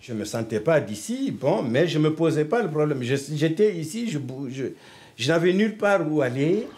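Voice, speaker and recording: An older man from Guinea, a doctor, recounting his exile in a measured, reflective way. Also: on the high side, sing-song, a little mellow. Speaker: doctor, interviewee. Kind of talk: testimony.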